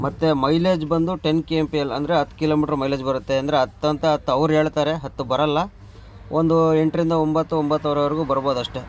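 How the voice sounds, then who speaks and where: A man's voice: a little high, steady tone, fast. A man, a truck driver vlogger chatting casually to his viewers and explaining a vehicle, outdoors with faint traffic noise behind. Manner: chatty, addresses viewers as brother and friends.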